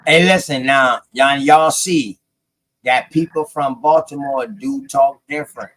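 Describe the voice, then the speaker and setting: A man's voice: medium pitch, measured pace, a bit bright, no room sound, poor audio. A man hosting a casual live panel chat, slangy and jokey, teasing and often repeating himself. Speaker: host of an open live panel.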